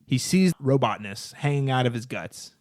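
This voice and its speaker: A man's voice: medium pitch, lively intonation, normal speed, a little mellow, no echo, clean studio sound. A man co-hosting a podcast in casual, informal banter, repeating phrases for emphasis. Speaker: podcast co-host.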